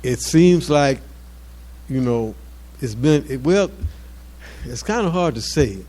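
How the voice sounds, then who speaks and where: A man in his seventies giving an informal off-the-cuff address to his community, halting and often repeating words as he searches for phrases. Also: medium pitch, expressive, warm tone. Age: 70s. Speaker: mosque community elder speaking at an anniversary event.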